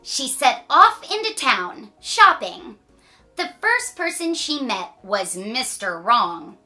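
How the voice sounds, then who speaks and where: Bright, slow, animated woman reading a storybook. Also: on the high side, soft background music. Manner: playful asides, dramatic drawn-out emphasis. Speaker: children's story reader. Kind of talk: animated read-aloud storytelling.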